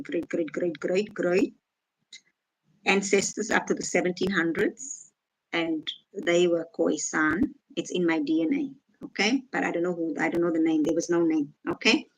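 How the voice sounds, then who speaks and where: A woman's voice: fairly low pitch, moderate pace, fairly bright tone, poor audio. Sarcastic woman vlogging. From South Africa. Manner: sarcastic, reads out and rebuts comments. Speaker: vlogger reacting to comments. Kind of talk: casual reaction commentary.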